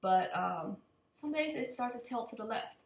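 A young woman with a slightly raspy voice from allergies gives a casual, unscripted update to camera in a hesitant, rambling way. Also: fairly high pitch, animated, normal speed. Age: young adult. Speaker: vlogger. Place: indoor open kitchen and living room. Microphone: computer mic at desk distance. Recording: echoey room, computer mic.

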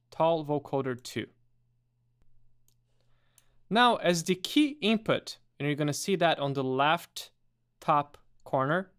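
A man presenting a step-by-step software tutorial in a methodical, explanatory way. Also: a little high.

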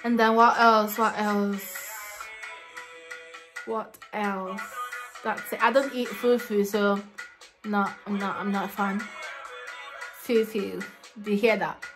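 A young woman in her twenties talks straight to camera from a small room, playful and animated and quick to laugh. Her voice sits at an ordinary middle pitch. Faint music plays low underneath. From Ghana. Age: young adult. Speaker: vlogger. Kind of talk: casual chat to camera.

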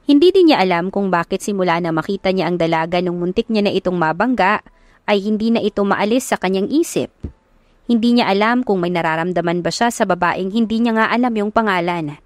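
A woman's voice: medium pitch, fairly quick, no echo. A woman narrating a scripted romance audio story as its storyteller.

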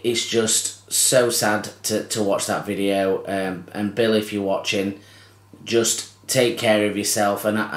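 A man in his thirties speaks close to a camera in a small curtained room with a noticeable echo. His voice sits low and stays fairly flat. He hesitates often, restarting phrases and filling gaps with ums. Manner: halting, many restarts and ums. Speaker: fishing channel host. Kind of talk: heartfelt tribute to camera. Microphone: camera close to face.

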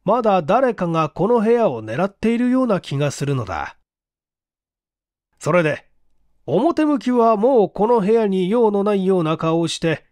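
A male narrator plays a middle-aged detective chief in a mystery audiobook. He is composed and authoritative, reasoning step by step. The pitch sits high for a man and moves widely, in a very dry recording. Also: darkish tone, clean tone, dry recording. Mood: confiding. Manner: measured, authoritative, reasoning aloud. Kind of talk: audiobook character acting.

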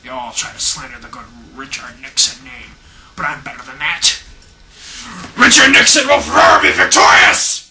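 A man's voice: medium pitch, wildly swooping pitch, moderate pace. A young man playing a boastful, needy, scheming ex-president and storyteller in an amateur comedy skit, theatrical and self-important. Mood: triumphant. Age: young adult. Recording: noisy home camcorder audio.

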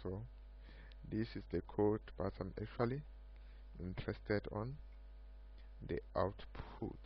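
A man giving an unscripted screen-recorded software demo of his own project, speaking haltingly in short broken phrases. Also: on the low side, slightly rough. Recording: muffled lo-fi mic with background hum.

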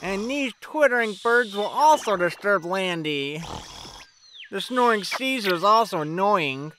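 A woman's voice: medium pitch, animated, unhurried, a bit bright, slight background noise. A woman voicing a fussy, grumbling rabbit in a children's cartoon, speaking emphatically. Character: fussy rabbit seeking absolute quiet for a friend.

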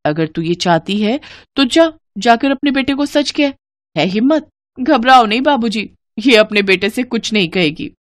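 Woman doing a greedy scheming young bahu in a cartoon, bossy n taunting, very lively pitch. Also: normal speed, natural voice. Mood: threatening.

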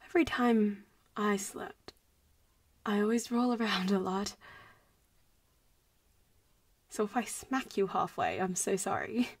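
A young woman voicing a playful, protective popular vampire girl in an intimate audio roleplay, breathy and often dropping to a whisper. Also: mid-range voice, lively intonation, measured pace, no echo. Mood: soft, hesitant. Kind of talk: audio roleplay voice acting, addressing the listener.

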